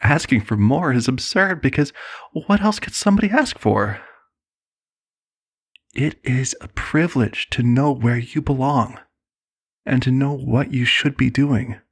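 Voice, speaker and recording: A man's voice: medium pitch, unhurried, breathy edge. A man performing an ASMR roleplay as a serenely manipulative cult leader, soothing, condescending and persuasive. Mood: patronizing, persuasive.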